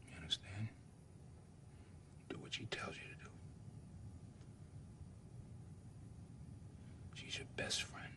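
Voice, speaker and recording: A man's voice: deep voice, noisy background, natural voice. An actor performing a stage monologue as a dying father who whispers tender last advice to his son. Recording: distant from the mic.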